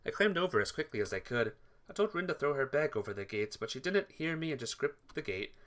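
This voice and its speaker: A man's voice: mid-range voice, very animated, fast talker, a bit bright. A man reading first-person visual novel narration aloud for a playthrough, stumbling over words and rereading them.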